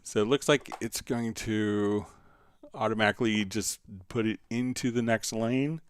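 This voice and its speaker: A man hosting a casual live software tutorial, thinking aloud informally as he demonstrates. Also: fairly low pitch, fairly even intonation, measured pace, smooth. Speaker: livestream host, software instructor.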